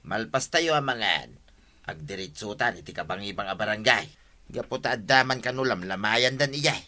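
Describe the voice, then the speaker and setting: A man acting an easygoing, excitable village husband in an Ilocano radio comedy drama, speaking with an Ilocano Filipino accent. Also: lowish voice, normal speed.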